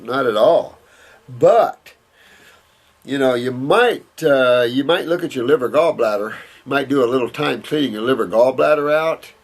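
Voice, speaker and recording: An older man hosting a health Q&A, reading viewer questions and answering them in a rambling way, with many fillers and repeated words. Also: medium pitch.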